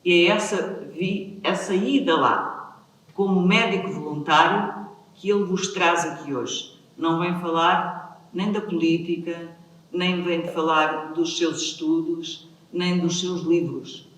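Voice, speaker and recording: A woman's voice: on the low side, fairly even intonation, slow, a bit bright. A woman with a European Portuguese accent introducing a guest to an audience in a conversational way, with little tag questions. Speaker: event host introducing a guest speaker. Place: large reverberant room at a public event. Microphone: distant microphone. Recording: very echoey hall, distant mic, faint noise.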